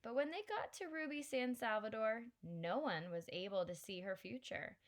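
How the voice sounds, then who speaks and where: A woman's voice: fairly high pitch, expressive, moderate pace, voice pushed up, lightly acted. A woman reading aloud and voicing a blunt, wry Filipino grandmother in a slightly raised, matter-of-fact voice. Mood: storytelling. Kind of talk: audiobook character reading.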